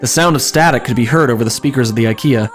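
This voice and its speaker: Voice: mid-range voice, fast, faint music behind. A streamer giving an informal, amused dramatic reading of comic fan fiction, stumbling over the text now and then. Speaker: streamer and story reader.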